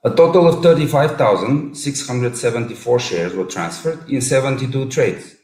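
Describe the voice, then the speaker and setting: A man with a Bulgarian accent reads long runs of numbers in an even, mid-pitched voice, correcting himself now and then. The room gives his voice a noticeable echo. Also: normal speed. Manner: reads long strings of figures in an even delivery, with an occasional self-correction. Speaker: presenter of results. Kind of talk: formal read presentation. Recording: echoey room.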